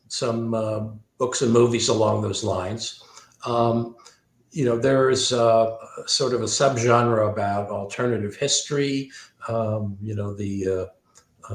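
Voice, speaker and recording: Low-voiced man in a roomy, echoing space. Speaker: science fiction author, interview guest. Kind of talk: thoughtful interview conversation.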